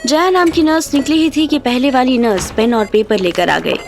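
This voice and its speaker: A woman's voice: high-pitched, normal speed, creaky. A woman reading scripted narration as the storyteller of an audio drama. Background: background music.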